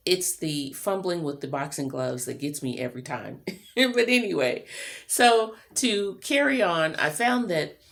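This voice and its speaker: A woman's voice: low-pitched, expressive, a little slow, creaky, a bit bright. A middle-aged woman vlogger talking in a casual, chatty monologue with informal, rambling asides. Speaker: vlogger and self-employed writer.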